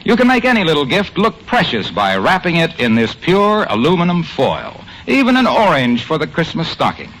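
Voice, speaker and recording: Bright, animated American radio announcer man. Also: a little high, normal speed, few pauses, a little hiss or hum, low-quality recording. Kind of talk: 1950s radio announcing, scripted. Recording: old radio broadcast recording. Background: music.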